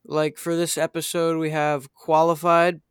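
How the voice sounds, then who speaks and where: Young host with a smooth, dry voice. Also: male voice, fairly high pitch, little pitch movement, a little slow, no echo. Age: young, teen or younger. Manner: hesitant, many fillers and restarts. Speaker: podcast host. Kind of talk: casual podcast interview.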